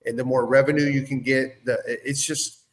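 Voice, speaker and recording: A man's voice: medium pitch, steady tone, normal speed. A man in casual conversation, speaking earnestly as a co-host and often repeating and rephrasing himself. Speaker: business owner and guest co-host.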